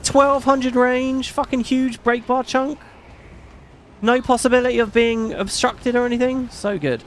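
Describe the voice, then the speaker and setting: A middle-aged man with a British accent, chatting casually on his live stream; his voice is fairly high and not macho, and he rambles and swears casually. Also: steady tone, a little slow, fairly bright tone, slight background noise.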